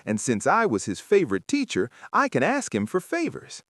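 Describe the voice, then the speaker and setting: A man's voice: a little high, huge pitch swings, normal speed, fairly bright tone, dry recording, clean studio sound, heavily acted. Man putting on a smug, boastful lady teacher, very singsong. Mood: smug, proud. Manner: smug, bragging. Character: boastful, self-satisfied middle-aged female social studies teacher.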